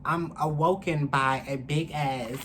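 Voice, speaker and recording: A woman's voice: low-pitched, fairly even intonation, a little slow. A young adult woman telling a story casually to camera in a candid, slangy, profane way, recorded indoors at arm's length. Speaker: vlogger. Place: indoors, small room. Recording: clearly noisy room ambience.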